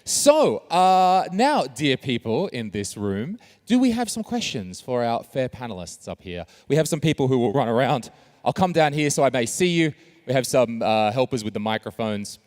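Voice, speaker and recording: A man's voice: medium pitch, big pitch swings, moderate pace, a little mellow. A man moderating a conference panel, upbeat and jokey as he calls for questions from the floor. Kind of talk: lively conference moderation with jokes.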